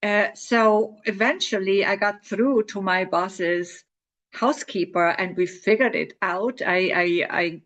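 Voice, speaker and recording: A middle-aged woman talks straight to her listeners about her own adventures. Her pitch sits in an ordinary middle range, and her telling is loose and chatty. She laughs often and circles back over her own words. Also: slow pace, fairly bright tone. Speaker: author promoting her book. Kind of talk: casual spoken storytelling to camera.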